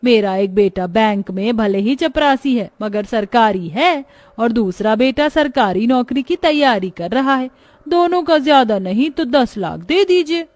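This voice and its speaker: A woman's voice: fairly high pitch, expressive, measured pace, a little mellow, dry recording. A woman voicing a greedy, haughty middle-aged mother of the groom in a cartoon drama, speaking in a bossy, demanding way.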